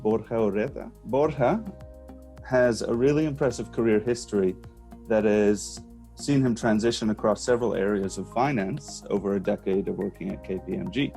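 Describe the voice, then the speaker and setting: A man's voice: lowish voice, gravelly, mellow. A man hosting an online event, introducing the guest in an upbeat way. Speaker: host.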